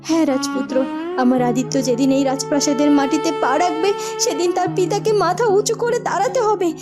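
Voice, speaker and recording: A woman's voice: high-pitched, a little fast, loud music behind the voice, close to an everyday voice. A woman playing a resolute, impassioned princess and grieving mother in a cartoon. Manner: firm, impassioned, exhorting.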